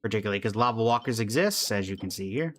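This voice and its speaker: A man's voice: lowish voice, lively intonation, fast, fairly bright tone, faint music behind. A man streaming a video game, giving casual live commentary, greeting viewers and making playful asides to himself and the characters.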